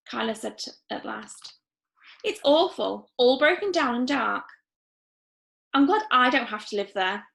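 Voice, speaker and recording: A woman reading a children's story aloud expressively, acting out the dialogue with lively emphasis. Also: sits high, vocal fry.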